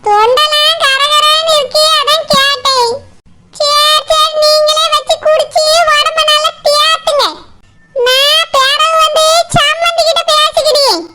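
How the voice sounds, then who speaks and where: A woman voicing a pushy fish-seller mother in a comic cartoon, cheeky and pleading in Kanyakumari Tamil, with a pitched-up voice. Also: fairly even intonation, a little slow, heavily acted. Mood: wheedling. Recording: loud background noise, clicks.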